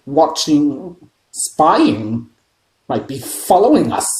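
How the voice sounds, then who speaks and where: Lady putting on a gruff barky grumpy uncle, storybook read, echoey room. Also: lowish voice, very animated, slow pace, a little mellow, voice pushed up. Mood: nervous scheming. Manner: gruff, barking, sneering. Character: blustering stout middle-aged uncle, bad-tempered.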